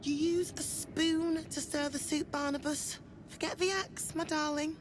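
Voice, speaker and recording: A high, cooing sinister cultist. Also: female voice, steady tone, measured pace, background noise. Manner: cooing, honeyed, condescending. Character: sweet-talking sinister woman cultist.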